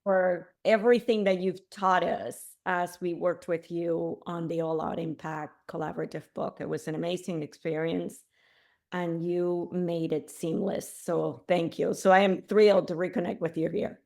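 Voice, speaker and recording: A middle-aged woman from Cuba, a podcast guest, telling her life story in an animated way, with restarts and laughs. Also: on the low side, normal speed, vocal fry.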